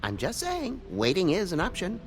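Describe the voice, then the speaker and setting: A man's voice: high-pitched, huge pitch swings, moderate pace, full character voice. A man voicing a meek, polite monkey servant in a video game, timid, deferential and hesitant, eager to help. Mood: apologetic. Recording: music under the dialogue.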